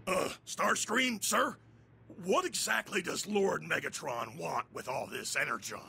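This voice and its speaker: Sharp, creaky nervous robot minion. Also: male voice, a little high, unhurried, a little hiss or hum, full character voice. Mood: nervous, servile. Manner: hesitant, deferential, uneducated grammar. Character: nervous, dim male robot underling.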